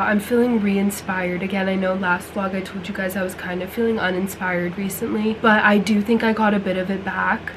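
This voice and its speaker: A young woman, hoarse as she recovers from losing her voice, chatting casually to camera as a lifestyle vlogger. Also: mid-range voice, noisy background. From United States. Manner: chatty, bubbly, heavy use of "like" and "you guys".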